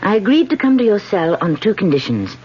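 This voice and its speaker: A principled political candidate. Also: female voice, mid-range voice, sing-song, fairly quick, slightly rough. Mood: wary. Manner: earnest, firm. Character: principled female political candidate, earnest and guarded. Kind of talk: 1970s radio drama acting. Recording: dull vintage radio broadcast.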